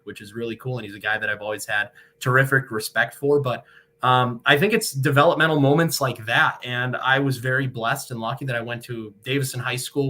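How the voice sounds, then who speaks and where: A man's voice: mid-range voice, pushed and bright. An American man in his twenties from Michigan, answering interview questions conversationally in an earnest, articulate way with frequent hesitations. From Michigan, United States. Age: 20s. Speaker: sportscaster, interview guest.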